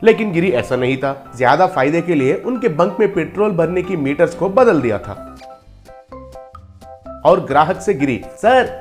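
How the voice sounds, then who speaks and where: A man narrating a scripted story as a storyteller. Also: on the high side.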